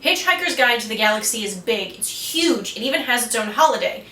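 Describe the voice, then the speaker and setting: A woman's voice: on the high side, expressive, a little fast, bright, forceful tone. A woman co-hosting a geek culture explainer, presenting scripted material. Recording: echoey room with faint noise.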